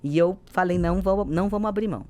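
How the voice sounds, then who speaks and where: A Brazilian woman with a fairly low voice talks in a podcast interview. She explains like an executive, stressing her points and often adding short tag questions to check she is followed. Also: racing, darkish tone. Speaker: business executive guest.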